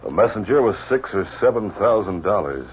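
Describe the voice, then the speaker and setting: A man's voice: fairly low pitch, sing-song, a little fast, a little hiss or hum. An actor playing a scheming, ruthless cafe owner who commands his hired men curtly, in a 1950s radio drama on a dull, lo-fi old recording. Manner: commanding, curt. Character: scheming middle-aged male cafe owner, ruthless boss.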